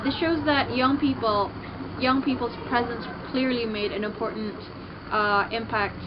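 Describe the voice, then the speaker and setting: A young woman from Indonesia, giving an earnest prepared statement as a youth delegate, with small hesitations. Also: medium pitch. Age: young adult. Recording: slightly dull, noisy recording.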